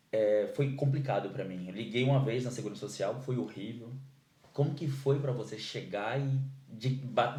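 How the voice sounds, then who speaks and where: A man's voice: on the low side, dark tone. A man with a Brazilian Portuguese accent hosting a podcast, asking questions casually and hesitantly, with many fillers. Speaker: podcast host. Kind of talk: casual interview chat. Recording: roomy echo.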